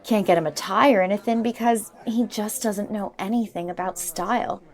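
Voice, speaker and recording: A woman's voice: mid-range voice, normal speed, lightly acted. A woman playing Veronica, a haughty teenage girl with a drawl, in 1940s radio comedy style. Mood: disdainful.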